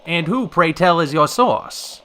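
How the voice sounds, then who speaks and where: An actor playing a sardonic, easily flustered 1930s radio call-in host with wry, old-fashioned slangy patter. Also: male voice, a little high, lively intonation, measured pace, sharp tone. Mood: skeptical, sarcastic. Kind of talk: old-time radio drama acting.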